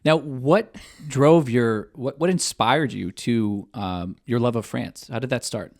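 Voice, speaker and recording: A man's voice: mid-range voice, slow pace, a little mellow, dry recording, studio quality. A man co-hosting a podcast, who talks eagerly and often restarts his sentences. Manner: eager, with many fillers and restarts. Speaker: podcast co-host. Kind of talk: podcast chat.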